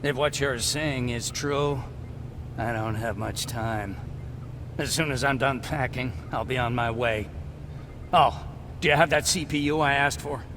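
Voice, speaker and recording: Male actor as resistance scientist, earnest, fervent, resigned, medium pitch, video game drama, noisy soundscape. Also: measured pace, close to an everyday voice. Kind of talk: video game cutscene acting.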